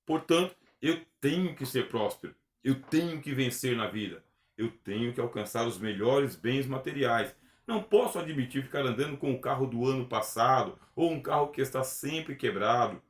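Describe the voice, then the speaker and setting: A man teaching a recorded theology lecture, earnest and emphatic, often asking rhetorical questions. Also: mid-range voice. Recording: echoey room.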